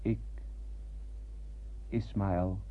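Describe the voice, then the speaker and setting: The lone survivor of a doomed space voyage, telling the tale in a radio drama. His voice is fairly low, solemn and poetic, with a haunted weight. The old recording is thin as a phone line and clearly noisy. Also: steady tone, drawn-out words. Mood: mournful, resigned.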